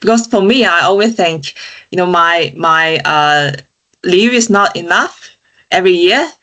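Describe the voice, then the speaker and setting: A woman's voice: fairly low pitch, unhurried, a bit bright. A woman from China, a podcast guest speaking thoughtfully and often saying "you know" and "kind of". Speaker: guest, digital engineer. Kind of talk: casual interview chat.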